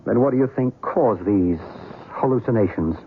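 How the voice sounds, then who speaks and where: A man's voice: lowish voice, lively intonation, moderate pace, phone-quality sound. An actor playing an earnest, philosophical male believer in otherworldly visitors, persuasive in old-time radio style. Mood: challenging. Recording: noisy, thin old radio recording.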